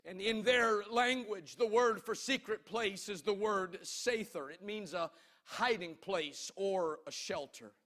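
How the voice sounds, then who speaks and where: A man's voice: sits high, measured pace, bright, forceful tone. A man preaching a sermon as a pastor, emphatic and declamatory, stretching out his phrases for weight. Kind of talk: impassioned sermon.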